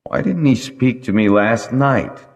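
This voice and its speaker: A man's voice: medium pitch, a little slow, big-room sound, pitched above the performer's own voice. A man voicing a proud, stubborn young dragonrider with a grumpy, defiant manner in an audiobook. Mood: grumbling.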